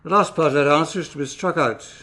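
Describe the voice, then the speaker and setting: An exacting trial judge in a radio drama. His voice is a little high, and he speaks with stern, formal authority. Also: fast talker, pushed and bright. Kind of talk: British radio drama acting.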